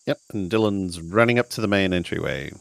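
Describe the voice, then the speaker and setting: A man's voice: low voice, expressive, fairly quick, vocal fry, dry recording. A man improvising casually as a player in a tabletop roleplaying game.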